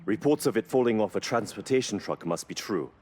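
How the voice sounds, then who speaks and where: A man's voice: on the low side, expressive, a little fast, darkish tone. A man playing an earnest, knowledgeable car mechanic in video game cutscenes, explaining things with reverence for engines. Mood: thoughtful.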